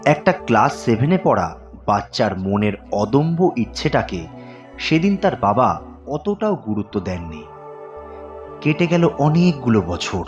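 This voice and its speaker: A man's voice: medium pitch, sing-song, unhurried. A man narrating a scripted story dramatically as a storyteller. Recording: dull sound with music underneath.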